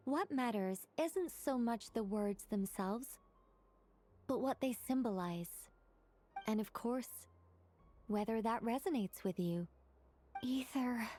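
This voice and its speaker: Chatty, high-voiced wise angel mage. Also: female voice, animated, takes it slowly, pauses often, dark tone, slight background noise. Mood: calm, encouraging. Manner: explanatory, rambling, self-correcting. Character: chatty, knowing female angel mage trying to stay solemn. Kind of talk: video game voice acting.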